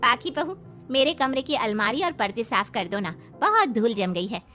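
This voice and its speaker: A woman's voice: on the high side, sing-song, moderate pace, bright, forceful tone, faint music behind. A woman voicing a possessive, quarrelsome old mother-in-law in a cartoon, bossy and wheedling.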